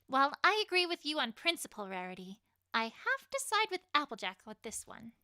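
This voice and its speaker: A woman's voice: sits high, very animated, fairly quick, bright, forceful tone, dry recording, clean studio sound, exaggerated voice. A woman voicing a studious unicorn mare who leads her friends decisively. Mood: commanding. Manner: decisive and commanding.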